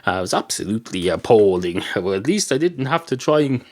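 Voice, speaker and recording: Hoarse guy rambling on his podcast, deadpan, swears a bit, voice jumps up and down. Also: lowish voice, brisk. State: slightly hoarse. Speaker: podcast host. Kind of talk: rambling comic monologue.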